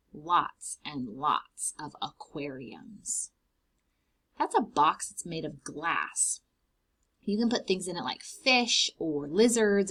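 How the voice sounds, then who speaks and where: A woman reading a children's storybook aloud in an expressive way, explaining things simply to young children. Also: fairly low pitch, slightly rough. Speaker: read-aloud host for preschoolers.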